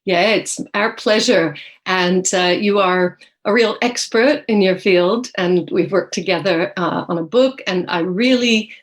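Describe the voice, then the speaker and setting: A woman's voice: medium pitch, measured pace. A woman hosts a podcast interview in a mid-range voice. She sounds upbeat and welcoming as she asks her guest questions. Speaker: podcast host.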